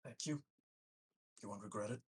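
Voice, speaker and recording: A man with a gravelly, husky voice, roleplaying earnestly and haltingly in a tabletop game. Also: fairly low pitch. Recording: distant from the microphone.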